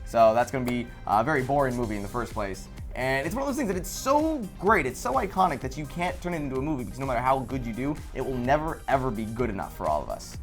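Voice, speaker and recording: A woman's voice: rumbling low, fast talker, soft background music. A young woman co-hosting a casual gaming panel show and reading out viewer comments. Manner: lively, reads viewer comments. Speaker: show co-host.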